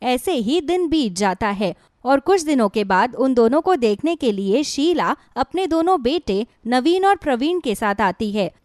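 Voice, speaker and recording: A woman narrates a scripted moral story as a storyteller. Her voice is high and very expressive, recorded close and dry. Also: a little slow, few pauses, dry recording.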